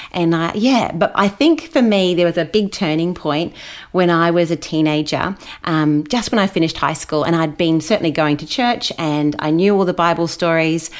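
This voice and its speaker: A woman, born in New Zealand, chatting as an interview guest and laughing often. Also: lowish voice. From New Zealand, raised in Australia. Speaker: guest, children's Bible story host. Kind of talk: casual interview chat.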